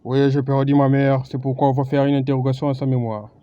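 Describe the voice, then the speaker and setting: Man as deadpan cartoon teacher, muffled audio. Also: mid-range voice, fast, heavy background noise, close to an everyday voice. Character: brisk, deadpan male schoolteacher in a cartoon. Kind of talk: cartoon character dialogue. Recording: dull muffled audio, loud music behind.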